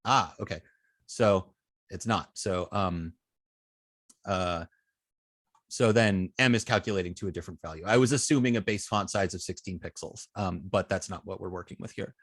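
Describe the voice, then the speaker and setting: A man with a fairly low voice works through a technical explanation as an instructor. He thinks aloud, often stopping and restarting his phrases. The sound is dry, with little room in it. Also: a bit bright, dry recording. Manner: halting, repeats and restarts phrases while reasoning. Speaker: web design instructor. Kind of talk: informal hands-on teaching, thinking aloud.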